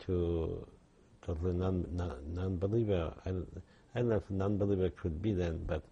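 Older man, low gravelly husky voice, halting testimony. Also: steady tone, warm tone, dull audio. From Poland. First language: Yiddish. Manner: halting, many restarts and fillers. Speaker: interviewee. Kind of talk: oral history testimony.

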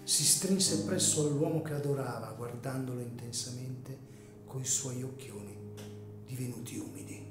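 Man, story narrator, medium pitch, measured, solemn, literary, music underneath. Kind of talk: scripted dramatic storytelling narration. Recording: echoey room, music underneath.

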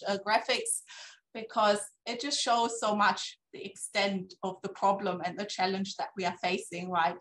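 A woman's voice: mid-range voice, fairly bright tone, low-quality recording. A woman in her thirties giving a keynote talk over a video call from her office. She talks casually and ends many sentences with "right?". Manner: conversational, tags sentences with "right?". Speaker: keynote speaker. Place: office with a poster on the wall. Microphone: laptop webcam on a video call.

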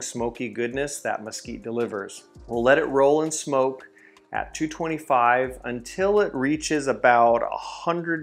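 A man in his thirties hosting a home grilling vlog, upbeat and hyped, who breaks into loud whoops. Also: mid-range voice. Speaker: grilling channel host. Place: home kitchen and backyard.

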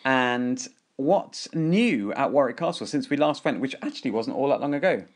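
A woman with a strikingly low voice hosts a family podcast. She chats casually and puts questions to the others in a conversational way. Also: lively intonation, normal speed. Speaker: podcast host, parent.

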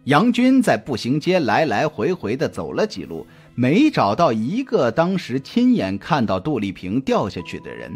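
An audiobook narrator tells a scripted suspense story. The delivery is highly varied in pitch, at a medium register, with light music underneath. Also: wildly swooping pitch, moderate pace, hardly any pauses, husky. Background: background music.

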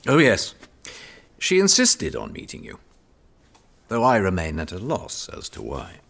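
An actor playing a haughty, impatient medieval duke in an audio drama, stern and condescending. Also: male voice, deep voice, wildly swooping pitch, unhurried, gravelly, a bit bright, heavily acted. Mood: dismissive.

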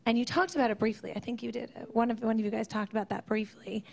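A woman in her thirties asking a panel a question over a handheld mic in a conference room, halting and restarting often. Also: on the high side, steady tone, gravelly, darkish tone. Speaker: audience questioner. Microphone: handheld microphone.

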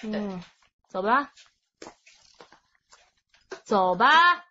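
An actress playing a brisk, curt young policewoman in a Chinese crime radio drama. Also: mid-range voice, very animated, pushed and bright, highs cut off, exaggerated voice.

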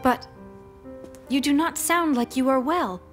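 Game heroine: devoted young wife, higher voice, slow and earnest, music underneath. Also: female voice, a bit bright. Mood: concerned. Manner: earnest, measured, formal. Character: devoted, gentle young wife of a strategist, Chinese war heroine. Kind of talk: scripted video game cutscene acting.

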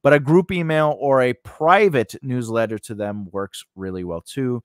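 A man's voice: mid-range voice, normal speed, no echo, clean studio sound. A man teaching an informal, unscripted tutorial in a conversational way, often repeating phrases and checking in with the listener. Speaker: instructor and host of a writing lesson.

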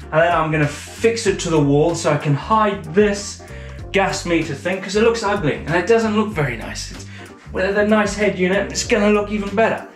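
A man's voice: high-pitched, normal speed. Chatty man in an echoey garage workshop. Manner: chatty, self-mocking, thinking aloud while working. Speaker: presenter. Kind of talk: casual workshop vlog. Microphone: head-mounted action camera. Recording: roomy garage echo with music behind.

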